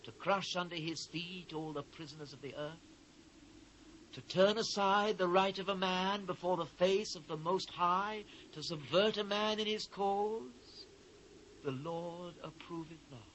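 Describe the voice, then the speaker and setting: A man's voice: high-pitched, unhurried, fairly bright tone, low-quality recording. A British man playing an anguished biblical prophet in a dramatised scripture reading, solemn, declamatory and impassioned. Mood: subdued, grave. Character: anguished male biblical prophet lamenting his ruined people. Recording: faint noise, old cassette transfer.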